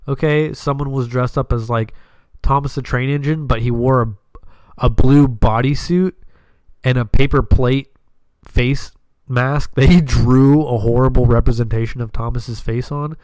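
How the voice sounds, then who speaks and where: A man hosting a podcast, telling personal stories in a casual, slangy, animated way with plenty of swearing and acted-out dialogue. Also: mid-range voice, moderate pace, clear, resonant tone, dry recording. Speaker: podcast host.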